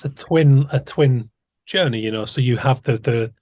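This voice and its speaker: A man with a slightly husky voice, recorded dry and close. He hosts an interview podcast and muses thoughtfully, often restarting his phrases as he works through an idea. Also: medium pitch, moderate pace, a little mellow, dry recording. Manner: thoughtful, musing, frequent restarts. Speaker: podcast host. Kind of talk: conversational interview.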